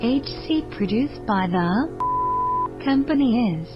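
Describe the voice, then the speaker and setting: Lady doing a sugary ad announcer for robot moms, slow and lilting, music under it. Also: high-pitched, wildly swooping pitch, lo-fi sound, exaggerated voice. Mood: sing-song. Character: cheery female announcer selling robot mothers. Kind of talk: commercial voice-over.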